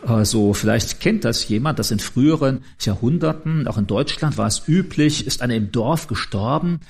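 A man's voice: medium pitch, lively intonation, normal speed, gravelly, breathy edge. A man preaching in an informal, conversational and explanatory way, addressing his listeners directly. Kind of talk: informal sermon.

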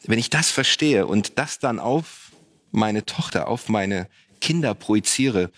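A man, a father, sharing faith reflections on a church panel, slow and searching with many pauses. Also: on the low side, expressive, fairly bright tone.

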